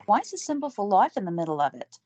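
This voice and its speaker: A woman's voice: mid-range voice, very animated, fast, a little mellow, no room sound. An actress playing an earnest young woman reporter who is also an army medic, asking questions. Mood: curious. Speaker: interviewer. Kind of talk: audio drama acting. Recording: dull lo-fi recording.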